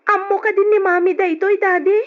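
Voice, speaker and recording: A woman's voice: very high voice, fairly even intonation, brisk. A woman with an Ilocano accent acting a distressed, anxious young daughter and sister in an old radio drama. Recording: dull lo-fi old radio recording.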